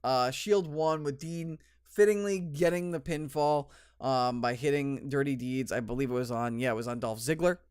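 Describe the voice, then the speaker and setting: A man's voice: mid-range voice, normal speed, few pauses, a little mellow, clean tone, no room sound, studio quality. A young man with a slight cold hosting a solo podcast, reviewing wrestling in a rambling, enthusiastic way with many fillers. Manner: rambling, lots of ums and restarts, enthusiastic.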